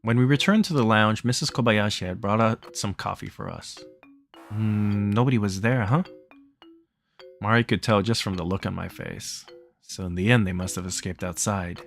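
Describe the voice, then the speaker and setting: A man's voice: on the low side, a little fast, vocal fry, fairly bright tone, faint music behind. A man hosting a playthrough, reading the game's story aloud and commenting casually on it. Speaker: let's play host reading the game's text aloud.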